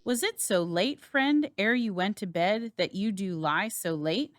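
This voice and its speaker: A woman's voice: medium pitch, expressive, a little slow, no echo, studio quality, close to an everyday voice. A woman playing a loyal, courteous nobleman in a theatrical, declamatory Shakespeare reading. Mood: courteous, teasing. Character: earnest Scottish nobleman, loyal and courteous, passionate.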